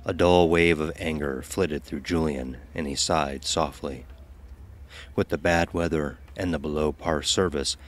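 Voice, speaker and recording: A man narrating a scripted dark audio story. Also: very deep voice, fairly even intonation, unhurried.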